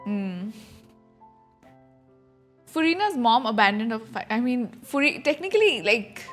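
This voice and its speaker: Casting: young female game streamer, slightly high voice, conversational and rambling, faint music behind. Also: big pitch swings, unhurried. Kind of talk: casual live reaction commentary.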